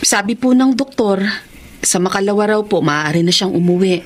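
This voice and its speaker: A woman's voice: medium pitch, animated, normal speed, a little hiss or hum, natural voice. A woman playing an anxious wife and mother in a Tagalog radio drama. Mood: grateful, respectful.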